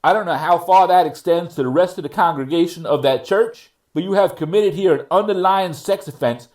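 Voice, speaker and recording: A man reading the part of a judge in a courtroom readers theater piece, with formal, deliberate and measured legal phrasing. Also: high-pitched, darkish tone, close to an everyday voice. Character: male judge ruling at a probation revocation hearing.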